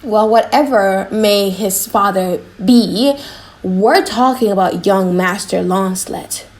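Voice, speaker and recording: A woman's voice: medium pitch, slow, a little mellow, low-quality recording. A woman voicing a mischievous, cocky, chatty young male servant in a dramatized audiobook, teasing and mock-grand.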